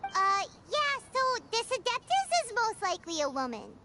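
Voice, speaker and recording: A woman voicing a tiny, excitable, whiny childlike fairy companion in a video game, squeaky and exclamatory. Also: moderate pace, over loud music, heavily acted. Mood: sheepish. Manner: talks about self in third person, exclamatory. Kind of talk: video game character acting.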